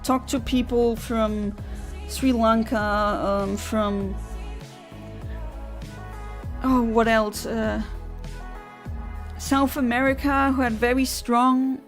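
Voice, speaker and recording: A woman's voice: fairly high pitch, steady tone, drawn-out words, long pauses, faint music behind. A woman live-streaming a game with a non-native English accent, chatting casually with viewers and often laughing at her own mistakes, with lots of fillers and restarts. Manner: chatty and self-deprecating, many fillers and restarts. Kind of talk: casual live-stream chat while playing and answering chat.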